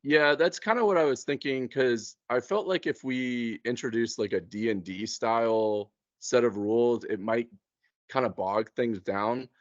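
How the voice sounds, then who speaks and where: A man with a mid-pitched voice talks through tabletop game master plans in a loose, conversational way. He feels his way through explanations, often hesitating. The audio is close and dry, with a dull, lo-fi muffle. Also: measured pace, no echo. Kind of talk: casual chat.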